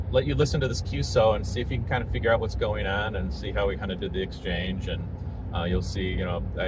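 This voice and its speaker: Low-pitched middle-aged male vlogger, halting and informal, explaining things over clear road noise. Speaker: amateur radio vlogger. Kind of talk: casual rambling vlog talk. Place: inside a truck driving in traffic. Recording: distant mic, clear vehicle and road noise. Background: traffic and vehicle noise.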